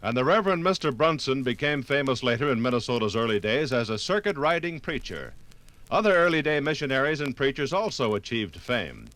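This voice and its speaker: Animated mid-pitched male radio narrator. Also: fairly quick. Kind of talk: 1950s radio documentary narration, scripted.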